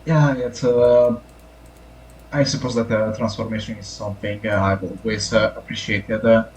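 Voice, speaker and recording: A man's voice: on the low side, level tone, moderate pace, a little mellow, poor audio. A man from Italy speaking English with an Italian accent, a guest artist chatting hesitantly with lots of fillers. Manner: hesitant, many fillers, searching for words. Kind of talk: casual podcast chat.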